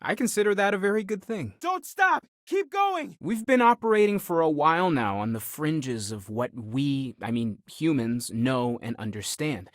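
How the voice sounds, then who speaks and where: A man's voice: medium pitch, moderate pace, hardly any pauses, dry recording, studio quality, lightly acted. A man playing an earnest, curious young space explorer of faith in a video game, speaking thoughtfully and at times hesitantly. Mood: passionate, awed. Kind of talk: game dialogue voice lines.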